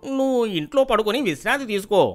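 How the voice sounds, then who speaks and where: A man voicing a haughty rich village merchant, loud, scornful and dismissive, in a moral story. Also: very high voice, expressive, quick pace, faint music behind.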